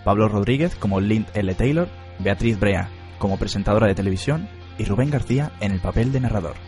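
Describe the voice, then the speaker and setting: A man's voice: lowish voice, animated, a little fast, over music. A man giving scripted narration and cast credits for a radio drama.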